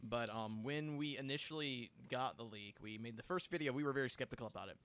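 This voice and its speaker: A man's voice: mid-range voice, quick pace, clean tone. A young man co-hosting a livestream, telling stories eagerly with many restarts. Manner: excitable storyteller, restarts a lot. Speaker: channel co-host. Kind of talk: casual livestream chat.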